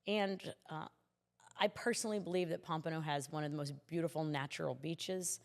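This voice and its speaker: A woman's voice: deep voice, steady tone, a little fast, vocal fry, long reverb tail. A woman speaking as a city commissioner in a conversational interview, explaining things earnestly.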